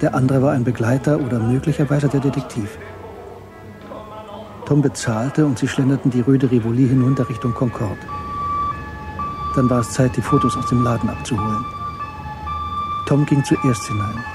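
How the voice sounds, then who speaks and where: A man narrating a German radio crime drama in a measured, matter-of-fact way. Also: mid-range voice, steady tone, music in the background. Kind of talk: scripted audio drama narration.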